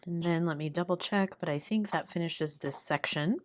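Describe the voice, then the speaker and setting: A woman chatting casually and murmuring to herself as she does a craft, her hoarse voice giving out from sickness. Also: moderate pace. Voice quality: hoarse, low voice. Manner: murmuring to herself while working. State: sick, voice giving out. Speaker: craft video host. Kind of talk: casual chat while doing a craft.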